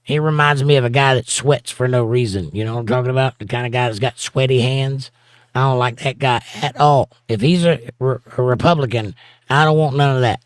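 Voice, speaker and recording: A man's voice: medium pitch, brisk, smooth, no room sound. A man with a Southern accent hosting a livestream, reading viewer comments in a casual, teasing way and chuckling often. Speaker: livestream host reading chat. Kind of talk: casual chat.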